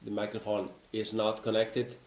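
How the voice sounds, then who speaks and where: Founder in an echoey room. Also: male voice, fairly low pitch, monotone, moderate pace. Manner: formal, presenting. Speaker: company founder. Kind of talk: product demo. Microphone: desk microphone in front of him. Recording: roomy echo.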